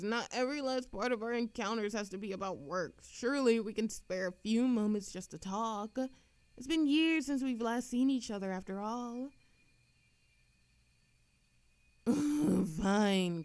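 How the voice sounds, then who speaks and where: A woman's voice: medium pitch, a little mellow, no echo, close to an everyday voice. Woman acting as a flirty, lilting immortal woman. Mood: coaxing, playful. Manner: theatrical, coy, teasing, with frequent giggles and pauses. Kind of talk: scripted audio roleplay addressed to an unheard listener.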